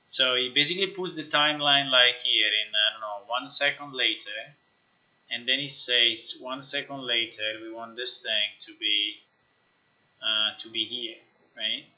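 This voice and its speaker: A man with a very sharp, forceful voice talks through software on a live stream. He is informal and halting, working things out aloud. The audio is slightly dull. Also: mid-range voice. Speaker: live streamer, game developer. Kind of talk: casual live-stream chat while demonstrating software.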